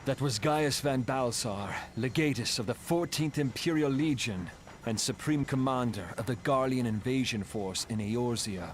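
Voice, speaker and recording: An actor playing a stern, battle-weary and exasperated ally in a fantasy video game. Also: male voice, mid-range voice, normal speed, slight background noise, natural voice. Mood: grim. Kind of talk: fantasy game dialogue acting.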